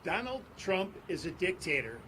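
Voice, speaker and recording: A man speaking at an outdoor press conference on court steps, in a forceful, repetitive rally cadence. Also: high-pitched, sing-song, normal speed, a little hiss or hum. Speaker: advocacy group speaker. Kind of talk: impassioned press conference speech.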